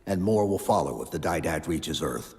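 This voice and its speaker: A grim game supersoldier with a very low voice. He is terse and commanding, with pitch that moves widely between restraint and urgency. Long reverb surrounds him, with music behind. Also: lively intonation, normal speed. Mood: resolute. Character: stoic armored male supersoldier, grim and resolute. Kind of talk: video game cutscene acting.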